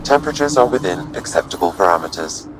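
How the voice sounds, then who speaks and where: An actor playing a calm onboard computer intelligence in a video game, giving measured, clipped status announcements. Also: male voice, deep voice, background noise. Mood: calm, informative. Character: calm onboard ship AI reporting sensor readings.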